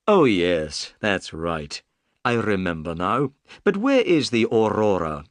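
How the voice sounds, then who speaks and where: A guy doing a shrewd polite detective for a storybook, confident, very lively delivery, no room sound. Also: lowish voice, slow pace, no room sound. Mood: polite, inquiring. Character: composed, courteous, shrewd male detective.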